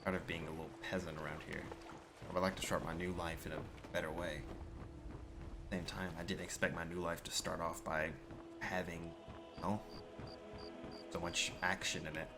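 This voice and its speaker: A man narrating his gameplay in character, in a low-key, musing way. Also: little pitch movement, normal speed, long pauses. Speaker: gamer roleplaying a character. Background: game music.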